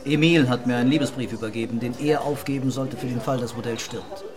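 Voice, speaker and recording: A man's voice: mid-range voice, fast, music in the background, natural voice. A man acting in a radio drama as a methodical police investigator, questioning and summing up step by step. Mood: probing.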